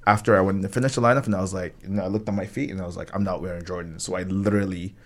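A low-voiced man from Nigeria talks as a guest on a podcast. He is a rapper, loose and laid-back, drifting through his thoughts with plenty of "like" and "you know.". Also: steady tone, very fast. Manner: laid-back, rambling, heavy on fillers. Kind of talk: casual podcast conversation.

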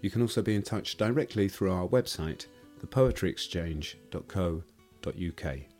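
A man's voice: deep voice, lively intonation, moderate pace, darkish tone, faint music behind. A man, a podcast host reading an announcement to listeners.

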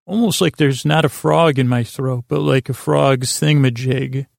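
A man hosting a sleep podcast in a creaky, tired voice, rambling haltingly through tangents and often interrupting himself. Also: medium pitch, fairly even intonation, no room sound, clean studio sound. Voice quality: creaky, croaky voice. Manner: meandering, halting, self-interrupting, trailing off. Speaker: podcast host.

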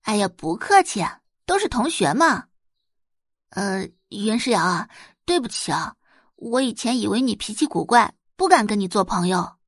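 A woman's voice: medium pitch, big pitch swings, normal speed, dry recording, clean studio sound. A woman voicing a chatty, friendly teenage schoolgirl with a perky, eager manner in an audio drama. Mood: apologetic, warm.

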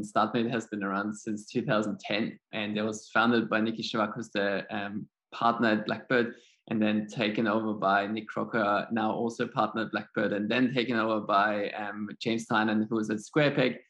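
A man's voice: fairly low pitch, fairly even intonation, moderate pace, a bit bright. A man from Austria with a German accent, answering interview questions in an informal, modest, conversational way. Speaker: podcast guest, startup accelerator chief executive.

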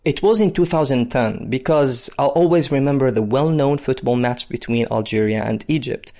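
A man's voice: medium pitch, moderate pace, dark tone. Congolese man telling his life story. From Democratic Republic of Congo. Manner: conversational, with frequent fillers. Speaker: interviewee, basketball player. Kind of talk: personal storytelling.

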